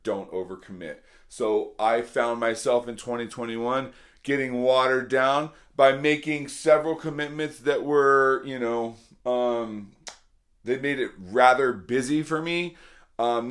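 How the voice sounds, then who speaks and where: A middle-aged man with a slightly hoarse voice, talking earnestly and casually to his viewers as a vlog host, repeating points for emphasis. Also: medium pitch, a bit bright. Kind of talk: casual motivational talk to camera.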